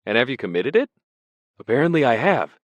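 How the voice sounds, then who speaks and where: A man's voice: medium pitch, very animated, brisk, no echo, highs cut off, voice pushed up. A man voicing a nervous, pedantic male poet held as a prisoner, speaking vaguely and with fussy indignation. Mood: bewildered, pedantic.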